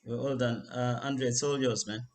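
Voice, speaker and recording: A man organizing an online session, softly calling on participants to introduce themselves, with hesitant fillers. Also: mid-range voice, fairly even intonation, moderate pace, a little hiss or hum.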